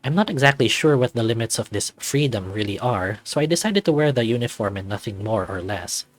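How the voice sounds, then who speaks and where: A man's voice: medium pitch, animated, a little fast. A young man reading first-person visual novel narration in a cocky, wry, conversational manner.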